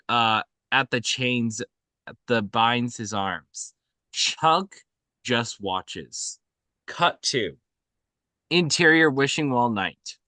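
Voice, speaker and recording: Halting male script reader, bright voice. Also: mid-range voice, no echo. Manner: halting, often stumbles and self-corrects. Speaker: reader of stage directions. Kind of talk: scripted narration at a table read.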